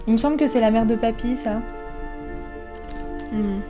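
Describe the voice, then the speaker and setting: A woman's voice: on the high side, moderate pace, music in the background, natural voice. A woman playing a curious, helpful young granddaughter in an audio drama, casually musing aloud. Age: young adult. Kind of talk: audio fiction acting.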